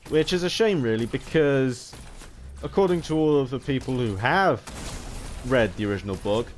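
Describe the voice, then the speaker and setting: A man giving unscripted, rambling commentary as a gaming video host, halting and often restarting his sentences mid-word. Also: medium pitch, animated. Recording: home recording with background noise.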